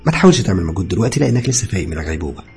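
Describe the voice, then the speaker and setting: A man voicing a calm, reassuring young hospital doctor with Egyptian Arabic speech, polite and conversational, in an audio story. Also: low-pitched, very fast, gravelly, faint music behind, exaggerated voice.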